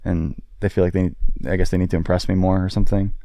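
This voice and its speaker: A man's voice: sits low, level tone, fast, warm tone, no room sound. A man co-hosting a podcast, talking in a laid-back, dry-humored way with frequent fillers. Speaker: podcast co-host. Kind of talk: casual chat.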